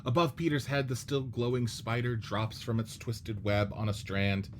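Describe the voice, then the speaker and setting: A man narrating the stage directions of a radio play script in a steady, descriptive reading. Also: mid-range voice, moderate pace, a little hiss or hum.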